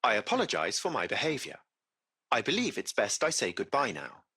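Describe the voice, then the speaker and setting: A man's voice: medium pitch, huge pitch swings, a little fast, creaky, bright, forceful tone, husky, full character voice. A man playing a courteous, formal AI taxi-service machine in a video game, with precise, butler-like phrasing. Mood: apologetic. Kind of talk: scripted video game voice acting.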